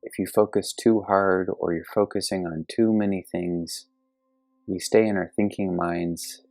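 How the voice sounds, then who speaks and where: A man leading a guided meditation as an instructor, speaking gently and calmly with measured pauses. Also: sits low, no echo. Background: soft meditation music with singing bowl tones.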